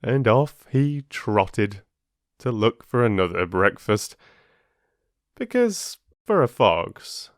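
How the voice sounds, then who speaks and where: A man reading a children's fable aloud in a slow, expressive storytelling manner. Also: on the low side, no echo, studio quality.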